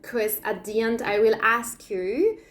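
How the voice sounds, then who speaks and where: A woman whose first language is Spanish, speaking English as a teacher in an invitational video. She asks many rhetorical questions and pauses often with fillers. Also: on the high side, steady tone, fairly bright tone, slight background noise. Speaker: Akashic Records teacher.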